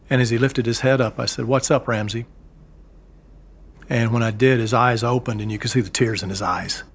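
A man, a former embassy security agent, recounting events in a measured, storytelling way with occasional fillers. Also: medium pitch, a little hiss or hum. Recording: music bed underneath.

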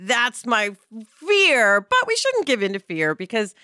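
A woman's voice: fairly high pitch, huge pitch swings, measured pace, sharp tone, no echo. A woman co-hosting a podcast in casual chat, reflective, often ending thoughts with a rhetorical "right?". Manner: reflective, asks rhetorical questions. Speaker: podcast co-host.

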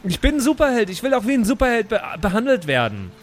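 A man voices a petulant superhero in a role-play audio game. His voice is high and very animated, protesting with wounded self-importance. Faint music plays behind him. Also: quick pace. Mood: defensive, petulant.

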